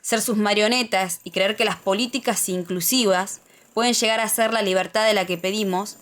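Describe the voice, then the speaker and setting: A woman's voice: mid-range voice, fairly even intonation, normal speed, fairly bright tone. A woman with an Argentine accent reads a scripted opinion essay aloud, as on a podcast. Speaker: podcast speaker.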